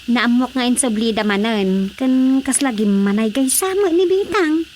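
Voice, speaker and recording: A woman's voice: a little high, lively intonation, moderate pace, darkish tone, close to an everyday voice. An actress playing a worried village woman in a radio drama. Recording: radio drama with faint noise.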